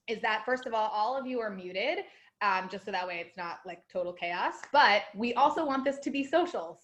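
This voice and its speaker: A woman's voice: mid-range voice, a bit bright. Bubbly young woman hosting a video call. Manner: bubbly and chatty, many fillers like "um" and "like". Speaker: host. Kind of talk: hosting a live online program.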